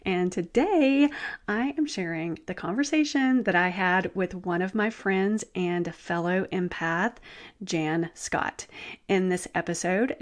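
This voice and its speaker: A woman hosting her own podcast, introducing a guest and asking questions in an eager, excitable way. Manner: eager, excitable, with sudden upward jumps in pitch. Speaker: podcast host and empath coach.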